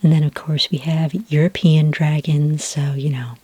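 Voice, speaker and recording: Woman playing friendly dragon rancher lady, asmr roleplay, super breathy whisper, mellow, patient and gentle. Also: deep voice, little pitch movement, normal speed, no room sound. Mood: helpful, gentle. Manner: gentle, patient, explaining hesitantly to a newcomer. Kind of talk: soft-spoken ASMR roleplay.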